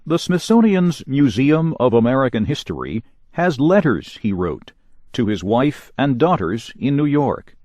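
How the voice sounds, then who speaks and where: A man reading a scripted news report in a measured, clearly enunciated broadcast style. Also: medium pitch, very animated, darkish tone, dry recording, studio quality. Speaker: news reader.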